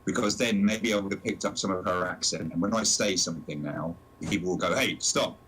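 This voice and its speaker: A man's voice: sits low, brisk, fairly bright tone, slight background noise, poor audio. A man with a British accent chatting casually as a co-host, in a wry, wordy way. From Britain. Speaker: co-host of a talk show. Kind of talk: casual chat.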